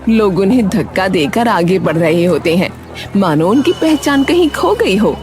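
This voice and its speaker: A woman reading scripted, dramatic narration for an animated story, with music behind her. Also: medium pitch, normal speed.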